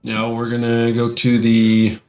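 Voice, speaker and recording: A man with a mid-pitched voice co-hosts in a casual, halting way, often repeating phrases. The sound is dull and lo-fi, with faint music behind him. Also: completely flat delivery, a little mellow. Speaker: podcast co-host. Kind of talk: casual chat while reading through game rules.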